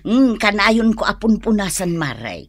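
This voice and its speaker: A woman's voice: mid-range voice, big pitch swings, a little slow, husky, no room sound. A woman playing a boastful, teasing neighbour in a comedy radio drama, with an Ilocano accent and a sing-song drawl.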